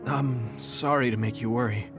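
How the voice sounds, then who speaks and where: An actor playing a troubled, grieving young hero in a video game, subdued and earnest. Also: male voice, mid-range voice, steady tone, moderate pace, music in the background, close to an everyday voice. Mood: shaken, bewildered. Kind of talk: dramatic video game voice acting.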